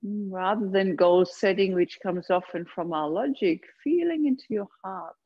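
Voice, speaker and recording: A woman leading a guided meditation, speaking gently and unhurriedly in short phrases with long pauses between them. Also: medium pitch, muffled recording. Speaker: yoga nidra meditation guide. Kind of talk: guided meditation, slow soothing instruction.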